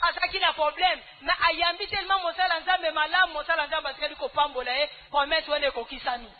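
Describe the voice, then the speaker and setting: A woman preacher with a Congolese accent gives an emphatic, scolding church testimony in short phrases, pausing for interpretation. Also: sits high, fairly even intonation. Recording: tinny phone-like band, loud noise.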